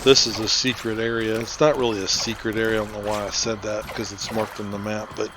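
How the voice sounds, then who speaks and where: A man's voice: lowish voice, flat delivery, fast talker, background noise. A man giving casual, rambling gameplay commentary as he hosts a playthrough. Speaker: host of a game playthrough. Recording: music behind the voice. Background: loud game music.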